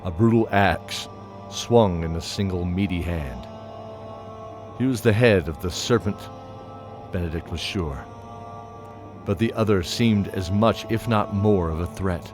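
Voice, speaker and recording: A man narrating a fantasy audio drama from a script in a measured storytelling manner. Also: low voice. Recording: music playing behind.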